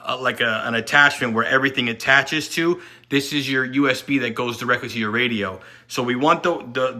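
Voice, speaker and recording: A man's voice: medium pitch, sharp tone. A man giving an unscripted step-by-step installation tutorial, talking as he works with his hands. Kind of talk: hands-on how-to demonstration, unscripted.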